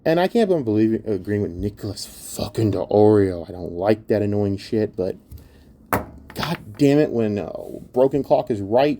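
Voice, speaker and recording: A man's voice: on the low side, dark tone, slight background noise. A man giving an opinionated commentary rant in a blunt, exasperated and scornful manner, swearing heavily. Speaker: online commentator.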